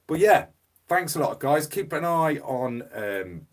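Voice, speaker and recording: A man hosting a casual livestream from his phone, hoarse from losing his voice, rambling and repeating words as he reads comments. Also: fairly high pitch, big pitch swings, slow. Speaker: livestream host. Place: small home room. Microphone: mobile phone.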